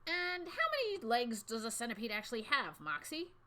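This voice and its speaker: A woman voicing a kindly, curious male fourth grade teacher in a storybook, with patient, gentle questions. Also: fairly high pitch, sing-song, moderate pace, pitched above the performer's own voice. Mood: questioning.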